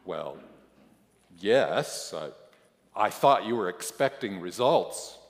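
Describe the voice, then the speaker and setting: Guy doing a flustered churchgoer pleading his case, stammery and defensive, pitch jumping all over, big echoey room. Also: huge pitch swings, takes it slowly. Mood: nervous, stammering. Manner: stammering, protesting, eager to justify himself. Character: flustered, defensive believer arguing with God after death. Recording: echoey hall, long reverb.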